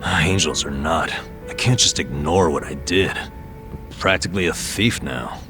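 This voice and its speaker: A gruff, sardonic vagabond in a video game, troubled by guilt. The voice sits low and grumbles dryly in casual talk, with music clearly behind it. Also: male voice, measured pace. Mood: stressed, guilty. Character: worried, guilt-ridden, gruff down-and-out man, sardonic friend. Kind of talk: video game English dub dialogue.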